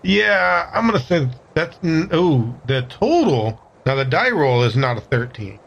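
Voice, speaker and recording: A man's voice: fairly high pitch, big pitch swings, unhurried, gravelly, fairly bright tone, highs cut off. A man talking casually as a player in a tabletop game, tentatively thinking his moves through aloud.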